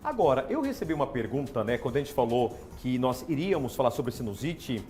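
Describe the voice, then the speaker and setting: A hoarse man with a Brazilian Portuguese accent, hosting a TV health interview in a chatty, playful way in a studio. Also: medium pitch, big pitch swings, brisk, a little mellow, soft background music. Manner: chatty, asks questions with playful disbelief. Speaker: TV show host.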